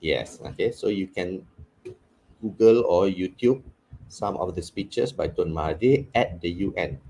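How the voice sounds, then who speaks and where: A man's voice: sits low, animated, measured pace, vocal fry, darkish tone, low-quality recording. A man with a Malaysian English accent giving a communication workshop, often checking in with "okay" and dropping in Malay phrases. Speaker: communication trainer. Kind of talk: workshop lecture.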